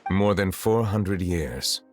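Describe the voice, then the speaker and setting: An actor playing a grave, ageless chief justice in a video game, formal, measured and reserved. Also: male voice, low-pitched, lively intonation, faint music behind. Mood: pensive. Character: grave, composed ancient male chief justice.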